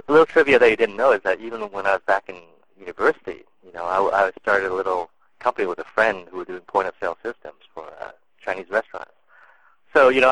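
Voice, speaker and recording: Low-voiced man answering interview questions. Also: fairly bright tone, no room sound, rough recording. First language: English and Cantonese. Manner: thoughtful, many fillers and restarts. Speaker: interview guest.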